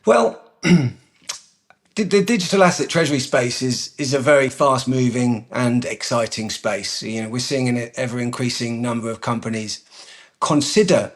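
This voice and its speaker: Man explaining with measured, halting delivery. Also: mid-range voice. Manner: measured, data-heavy, frequent ums and restarts. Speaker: company executive director. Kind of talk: business interview answers.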